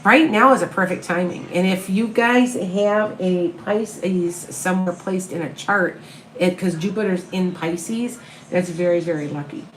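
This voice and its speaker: Calm, soothing Midwestern woman in echoey room. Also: fairly low pitch, a little slow, a little hiss or hum. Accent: Upper Midwest American. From American Midwest. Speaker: tarot reader and medium. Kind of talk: live-stream reading viewer questions.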